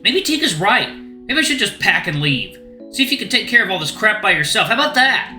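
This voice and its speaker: A man's voice: high voice, lively intonation, fairly quick, pushed and bright, reverberant, soft background music, heavily acted. A man voicing a bitter, exhausted young human man who talks in a raised, resentful way.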